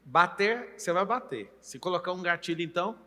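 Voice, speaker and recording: A Brazilian host in his late thirties who coaches with emphasis and often speaks straight to the audience. His voice is slightly high and strongly bright, with a forceful edge. A long reverb trails behind it in the room. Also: animated, brisk. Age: late 30s. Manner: emphatic, coaching, addresses the viewer directly. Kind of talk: motivational interview talk.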